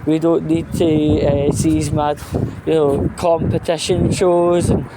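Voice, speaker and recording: Male vlogger, unhurried and halting, emphatic and foul-mouthed, informal rant, noticeable street-like background noise. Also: a little high, level tone. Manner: blunt, sweary, emphatic. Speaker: vlog host.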